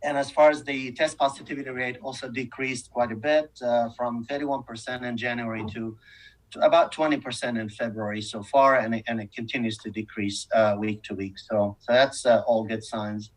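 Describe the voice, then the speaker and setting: A man reporting as a hospital chief of staff, informal and halting, picked up by a distant microphone. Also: mid-range voice, steady tone.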